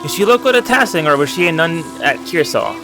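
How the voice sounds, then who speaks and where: A man's voice: fairly high pitch, big pitch swings, a little fast, voice pushed up, low-quality recording. A man voicing a curious, concerned young man in a narrative game, with a puzzled, questioning manner. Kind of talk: reading aloud narrative game dialogue. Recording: music and rain behind the voice.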